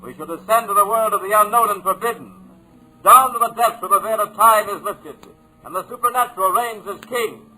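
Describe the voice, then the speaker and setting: An eerie host of the supernatural. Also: male voice, high-pitched, pushed and bright, slightly rough, heavily acted, rough recording. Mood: eerie, beckoning. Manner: slow, intoning invitation. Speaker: show's spooky host. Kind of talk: 1940s radio drama.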